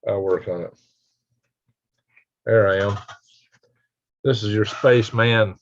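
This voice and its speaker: An older man with a raspy voice chatting casually and folksily as he hosts a live stream and reads out comments. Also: fairly low pitch, fairly even intonation, takes it slowly, slight background noise. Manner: folksy, rambling, chuckling country talk. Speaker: livestream host. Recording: dull lo-fi livestream audio.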